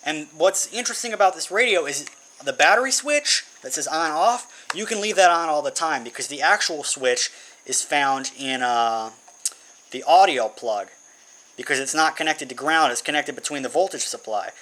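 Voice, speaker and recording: A man's voice: a little high, expressive, normal speed, pushed and bright. A man giving a casual how-to demonstration, informally thinking aloud as he works. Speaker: hobbyist presenting an electronics build. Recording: noisy amateur recording with insect hum.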